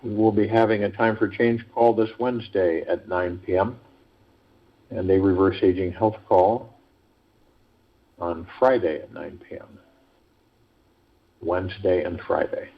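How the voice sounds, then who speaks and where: A man hosting a guided meditation call, speaking in a measured, deliberate way with pauses between phrases, over a phone conference line. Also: low-pitched, slightly rough. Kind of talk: guided meditation talk, reading prepared spiritual text. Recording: muffled, lo-fi conference call audio.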